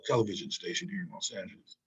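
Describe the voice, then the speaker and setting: A man's voice: deep voice, racing, breathy edge. An older man from Southern California, a historian being interviewed, who talks in emphatic, digressive runs and keeps checking in with "right?" and "you know?". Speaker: guest, historian and author. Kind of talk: interview conversation.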